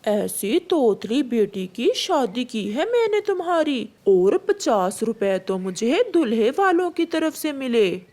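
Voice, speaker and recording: Woman doing a blunt, slow-talking crow matchmaker. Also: on the high side, very animated, warm tone. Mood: haggling. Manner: blunt, haggling. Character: pushy male crow matchmaker, money-minded and blunt. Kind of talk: Hindi children's cartoon voice acting.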